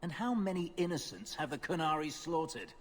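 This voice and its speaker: An actor playing a grumbling, sceptical companion in a fantasy video game. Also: male voice, fairly high pitch, big pitch swings, a little fast. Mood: sceptical, irritated. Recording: loud noise behind game dialogue.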